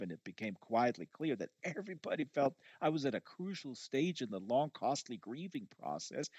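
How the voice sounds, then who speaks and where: A dull, lo-fi recording with almost no room sound carries a man's voice. He reads a first-person novel aloud with an expressive, conversational delivery and a medium pitch. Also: breathy edge, no echo. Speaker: audiobook reader. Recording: dull, lo-fi audio.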